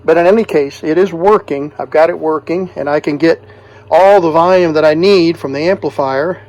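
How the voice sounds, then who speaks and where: A man's voice: fairly high pitch, moderate pace, a little mellow, low-quality recording. A man casually demonstrating and explaining a repair, plainspoken and step by step, as a hobbyist host. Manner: plainspoken, talks through each step.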